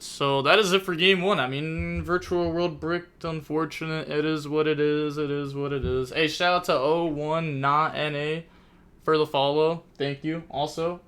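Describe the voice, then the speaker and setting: Young male streamer, bright crisp voice, chatty casual commentary. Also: on the high side. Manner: chatty, rambling, thinks aloud with many fillers. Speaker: streamer commentating a card game tournament.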